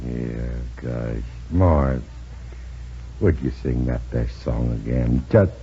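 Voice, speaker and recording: A man's voice: a bass voice, a little hiss or hum. An American actor playing a bumbling, wheedling sea captain in a radio comedy, stammering and gushing at his sweetheart. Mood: sweet-talking. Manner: stammering, drawn-out hesitations. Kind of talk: 1940s radio comedy acting. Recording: muffled old radio broadcast recording.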